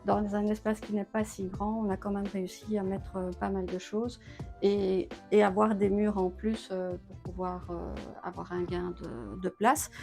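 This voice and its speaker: A woman's voice: medium pitch, flat delivery, measured pace, gravelly, a little mellow. Belgian-raised woman, homeowner, hesitant casual talker, faint music bed. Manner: hesitant, many filler sounds. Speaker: homeowner giving a home tour. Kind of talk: casual interview.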